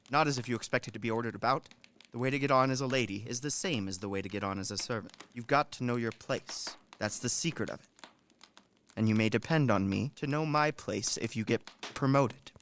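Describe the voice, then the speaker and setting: A man's voice: medium pitch, brisk, a bit bright, slight background noise, close to an everyday voice. A man in a radio play acting a shrewd, self-important manservant who coaxes and lectures with emphasis. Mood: lecturing. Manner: wheedling, instructing, emphatic.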